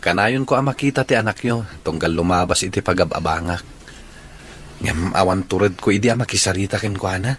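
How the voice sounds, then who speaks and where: A man's voice: sits low, brisk, slight background noise, natural voice. A man playing a shy, polite young village suitor in an audio drama, with an easygoing manner. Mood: bashful.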